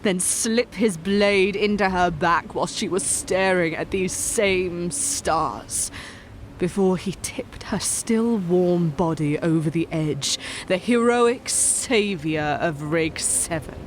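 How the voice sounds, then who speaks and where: A woman performing a cold, vengeful witch who narrates her own dark tale in a deliberate, intense, dramatic way. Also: fairly low pitch, slight background noise. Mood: hushed, bitter. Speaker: story narrator. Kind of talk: dramatic first-person scripted narration.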